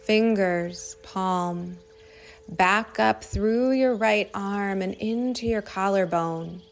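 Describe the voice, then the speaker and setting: A woman leading a guided meditation in a soothing, unhurried manner, speaking in short phrases with long pauses. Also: mid-range voice, creaky. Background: singing bowl meditation music.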